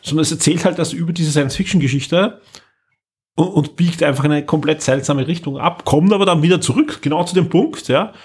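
A man's voice: on the high side, a little fast, a little mellow, husky. An Austrian-accented man hosting a podcast, chatting casually and emphatically as he reviews, often repeating words. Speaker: podcast host.

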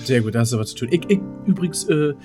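A man's voice: on the high side, animated, rapid-fire, over music. A man chatting casually as co-host of a podcast. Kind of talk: casual chat.

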